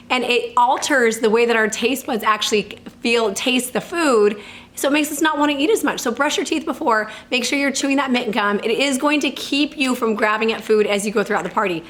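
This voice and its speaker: A woman in her thirties with a high, slightly hoarse voice, speaking to the camera as a fitness coach. She is upbeat and chatty. Her casual tips come with small laughs and quick self-corrections. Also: a bit bright.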